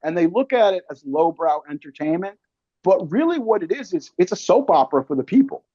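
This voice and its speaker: A man in casual chat as an interview guest, telling stories in an animated, slangy way and swearing freely. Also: high-pitched, a little fast, dry recording, lo-fi sound. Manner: slangy, calls listener man and brother. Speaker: interview guest, actor and filmmaker.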